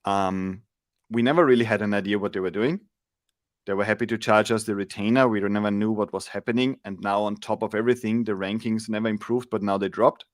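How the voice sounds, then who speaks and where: A man's voice: fairly low pitch, steady tone, fairly quick, no room sound, clean studio sound. A man in his forties from Austria, explaining things thoughtfully as a guest on a video call, with frequent restarts. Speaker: guest, SEO agency founder. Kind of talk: casual interview chat. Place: home room, indoors. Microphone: large studio mic on arm.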